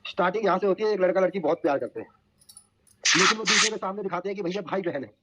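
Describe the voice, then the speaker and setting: A man's voice: high voice, very fast, very noisy. A man on a dull recorded call, telling gossip informally in an emphatic, colloquial way.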